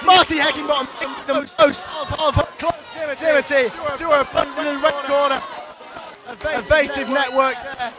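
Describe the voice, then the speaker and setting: Very high-pitched man calling boxing over crowd. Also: level tone, measured pace, pauses often. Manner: emphatic, repeats phrases. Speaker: boxing commentator. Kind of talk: excited live fight commentary. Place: boxing event, amid the crowd. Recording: loud crowd and music in noisy venue.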